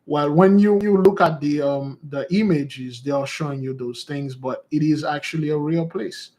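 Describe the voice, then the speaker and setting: A man's voice: fairly high pitch, normal speed, a little mellow. A man teaching in a loose, rambling monologue who repeats his points and calls his listeners beloved. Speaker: preacher and teacher.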